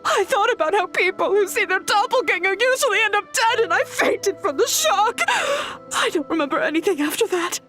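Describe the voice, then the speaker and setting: A woman's voice: extremely high pitch, animated, bright, forceful tone, exaggerated voice. An actress voicing a timid, easily shaken young townswoman in an anime game, stammering and gasping as she talks. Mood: fearful. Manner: breathy gasps, stammering, drawn-out delivery.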